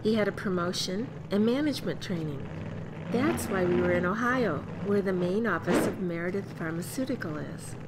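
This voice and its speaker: A woman's voice: mid-range voice, animated, moderate pace, very noisy, close to an everyday voice. A woman playing a reassuring, practical mother in a family radio play, explaining things in a motherly way.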